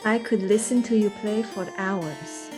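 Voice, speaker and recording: A woman's voice: mid-range voice, measured pace, music in the background, lightly acted. An actress playing a mysterious young noblewoman in a radio play, speaking in a languid, intense and formal way. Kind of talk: dramatic radio play acting.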